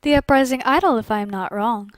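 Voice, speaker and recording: A poised young aristocratic lady played by a woman in a fan fiction reading. Her voice is high and closely recorded with little room sound. She speaks formally and with measured, genteel composure. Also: no echo, close to an everyday voice. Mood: cool, subdued. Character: composed, elegant young gambling lady in Gothic dress.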